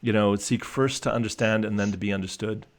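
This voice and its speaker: Low-voiced man, reflective coach. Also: steady tone, a little fast. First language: English. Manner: reflective, many restarts and fillers. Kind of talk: casual conversational discussion.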